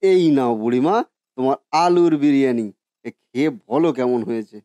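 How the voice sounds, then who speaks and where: Man playing a mellow, genial village food-stall cook. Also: mid-range voice, moderate pace, a little hiss or hum, close to an everyday voice. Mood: eager, respectful. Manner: folksy, reassuring, deferential. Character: genial male village biryani-stall cook, eager and respectful. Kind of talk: Bengali cartoon story voice acting.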